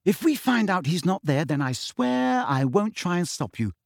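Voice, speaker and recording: A man's voice: sits high, big pitch swings, normal speed, vocal fry, no echo, studio quality, pitched above the performer's own voice, exaggerated voice. A man voicing a clever, anxious teenage girl witch who argues fiercely and pleads. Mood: pleading.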